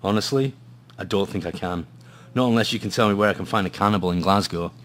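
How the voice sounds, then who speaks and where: A man's voice: on the low side, fast talker, a bit bright, slight background noise, close to an everyday voice. An actor playing a gloomy hard-boiled male detective, narrating and talking in a noir radio play with brooding drama. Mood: regretful.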